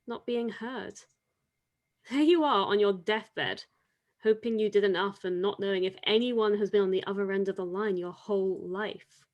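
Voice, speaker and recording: Woman playing devout islander, middle pitch, dry wit, teasing, reflective, audio drama read. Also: moderate pace, muffled recording, natural voice. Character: wry, devout island woman, teasing grave-robbing companion.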